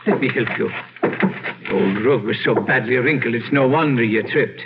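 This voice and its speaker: An actor playing a nervous, deferential manservant who is easily frightened, anxious and stammering, in old-time radio drama style. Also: male voice, medium pitch, normal speed, background noise, natural voice. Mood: uneasy, respectful. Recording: dull old radio broadcast with loud music.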